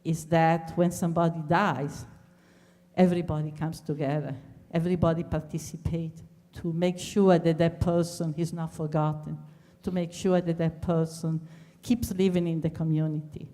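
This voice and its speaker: A woman closing a lecture, earnest and measured, pausing on fillers. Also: sits low, little pitch movement, warm tone. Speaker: speaker at a talk. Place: large lecture hall. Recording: hall reverb from a distance.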